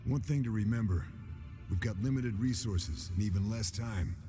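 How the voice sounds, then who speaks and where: A man's voice: lowish voice, normal speed, mellow, close to an everyday voice. A man playing a sober, practical military planner in a video game cutscene. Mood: cautioning. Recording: long hall reverb, music behind.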